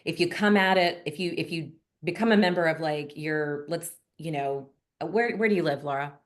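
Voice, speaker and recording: A woman's voice: low voice, normal speed. A woman hosting a group coaching call, chatty and encouraging, with many fillers. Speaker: notary business coach, call host.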